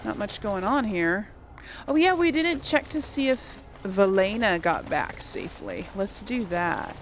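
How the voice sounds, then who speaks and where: A woman's voice: mid-range voice, expressive, a little slow, a little hiss or hum, rough recording. A woman giving casual game commentary as a let's play host, musing aloud to herself.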